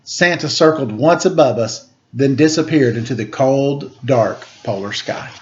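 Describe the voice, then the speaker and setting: A man's voice: mid-range voice, unhurried, highs cut off. A man reading a children's picture book aloud as a storyteller. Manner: acts out quoted dialogue.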